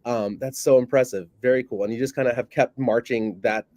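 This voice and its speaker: A man's voice: medium pitch, fairly even intonation, fairly quick, warm tone, no echo. A man hosting a podcast interview, enthusiastic and complimentary, often repeating and restarting his words. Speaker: podcast host.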